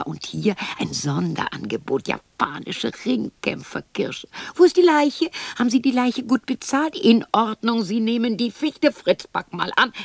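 Lonely bitter old widow muttering to herself, radio play, creaky husky voice, jumps from whispers to outbursts. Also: female voice, medium pitch, no echo, exaggerated voice. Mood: sardonic. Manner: agitated muttering, swinging between whispers and outbursts. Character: lonely sixty-year-old German widow, bitter, rambling, talking to herself. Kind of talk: radio drama monologue.

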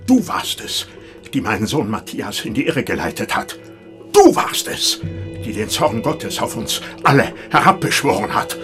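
A man's voice: lowish voice, very animated, moderate pace, fairly bright tone, soft background music. An actor playing a wrathful, domineering, pious medieval clergyman father in a German radio play, pompous and stern. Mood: raging.

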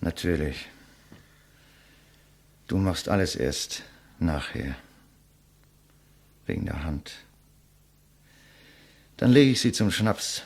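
A proud male writer defying his executioner. The speech is theatrical and eloquent with a sardonic edge, set fairly low and swinging widely in pitch. It has the manner of a 1950s German radio drama. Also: big pitch swings, takes it slowly, pauses often, darkish tone, full character voice. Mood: dry, sardonic.

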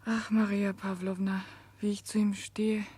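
Breathy, anguished female prisoner. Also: mid-range voice, flat delivery, measured pace, warm tone. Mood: agitated. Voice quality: breathy, half-whispered. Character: anguished woman prisoner, self-denying, torn by love. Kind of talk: 1960s German radio drama acting.

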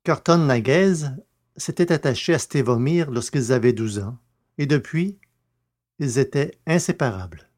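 Male narrator with a medium pitch. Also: expressive, measured pace, a little mellow. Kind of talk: scripted literary narration of science fiction audio drama.

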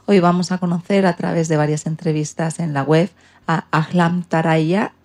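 Low-voiced woman presenting a radio show. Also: darkish tone.

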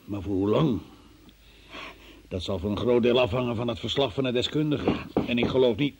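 An actor playing a forceful police investigator in a radio drama, formal, probing and theatrical in his delivery, with a husky voice. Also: mid-range voice, moderate pace, slight background noise, close to an everyday voice. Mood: measured, dry. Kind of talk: Dutch radio drama acting.

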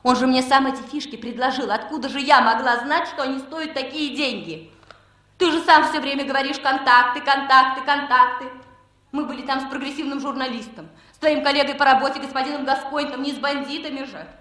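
An indignant wife in a 1960s Soviet radio play. Her high voice is plaintive and argumentative as she defends herself. The room is noticeably echoey. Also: steady tone, brisk, bright, forceful tone. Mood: defensive, indignant.

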